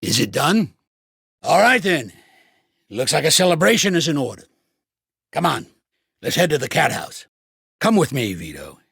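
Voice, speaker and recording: An actor playing an older American mafia boss in a video game, world-weary, shrewd and gruffly fatherly, talking blunt street slang in a gravelly voice. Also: a little high, big pitch swings, moderate pace, long pauses, fairly bright tone, dry recording, studio quality, full character voice. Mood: satisfied. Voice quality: gravelly, raspy.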